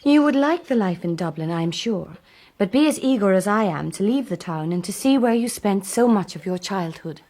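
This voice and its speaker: An actress playing a gentle, thoughtful young gentlewoman in a period radio drama, speaking in a measured, tender way. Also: medium pitch, animated. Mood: tender, eager.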